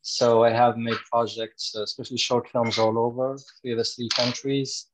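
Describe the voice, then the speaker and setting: Moroccan-born director introducing himself. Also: male voice, mid-range voice, flat delivery, normal speed, background noise. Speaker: director and screenwriter. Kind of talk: self-introduction pitch. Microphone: video call.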